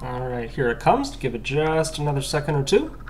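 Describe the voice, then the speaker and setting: A man's voice: medium pitch, sing-song, fairly quick, over loud music. Young man explaining a phone unlock. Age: young adult. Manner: matter-of-fact, explanatory. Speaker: phone unlocking video host. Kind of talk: tech demonstration walkthrough.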